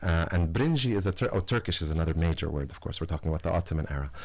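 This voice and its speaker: A man's voice: low voice, animated, very fast, a little mellow. A man as a radio interview guest, explaining at length in long lists with frequent fillers and restarts. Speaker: guest artist.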